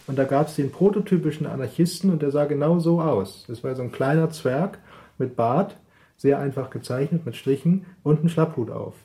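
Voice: medium pitch, warm tone. A person explaining ideas in an interview in a reflective, academic way. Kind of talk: interview commentary.

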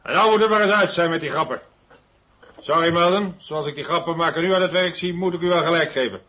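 Rapid, sharp police inspector. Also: male voice, fairly high pitch. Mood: stern. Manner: clipped, authoritative. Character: brisk, no-nonsense male police inspector. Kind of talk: 1970 Dutch radio play acting. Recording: dull old radio recording.

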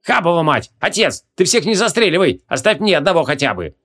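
A brash, hot-headed young male fighter from a fantasy audiobook, put on by a male reader. His voice is pushed high and shrill, with cheeky yelling and a wildly animated delivery. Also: fairly quick. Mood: indignant, eager. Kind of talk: audiobook character acting.

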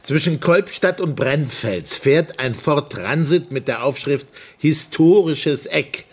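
An actor playing a sharp-tongued, mocking state prosecutor in a naturalistic German radio drama. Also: male voice, a little high, takes it slowly, husky. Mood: breezy, jovial. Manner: provocative, mocking. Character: sharp-tongued, needling male state prosecutor among old friends.

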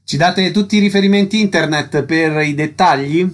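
A man's voice: on the high side, normal speed. A man hosting radio, reading out scripted questions and announcements as an interviewer.

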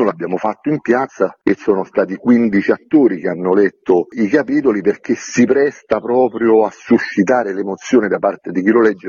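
A man gives a reflective radio interview as a book author. His voice sits fairly low and is husky. The sound is slightly dull, as broadcast audio. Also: darkish tone, no room sound. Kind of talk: radio interview, explanatory talk.

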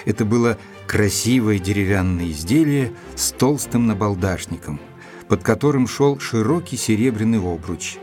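Lowish male companion-narrator played by an actor: earnest, measured, literary audio drama, faint music behind. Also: lively intonation, a little mellow, close to an everyday voice. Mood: measured, descriptive. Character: earnest, admiring gentleman doctor, detective's companion and narrator.

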